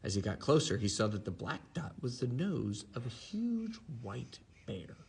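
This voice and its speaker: A man reading aloud to a child in an expressive, animated storytelling manner. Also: medium pitch, unhurried, vocal fry, husky. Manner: animated, acts out character lines. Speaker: storybook reader. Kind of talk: expressive read-aloud of a children's story, then casual chat.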